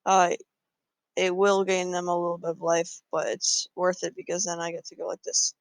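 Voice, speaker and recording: A man's voice: high-pitched, little pitch movement, normal speed, creaky, clean tone, no room sound. A man casually talking through his moves in a card game, thinking aloud with frequent fillers. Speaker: gameplay commentator.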